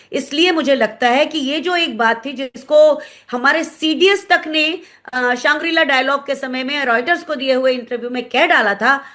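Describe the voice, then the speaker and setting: A woman with an Indian accent giving analytical commentary in an interview, explaining things and pausing to search for words. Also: high-pitched, fairly bright tone. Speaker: guest commentator, former pilot.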